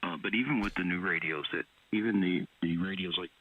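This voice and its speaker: A man on ham radio talking through gear details, hesitant and clearing his throat. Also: low-pitched, brisk. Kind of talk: casual radio chat. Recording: tinny radio transmission.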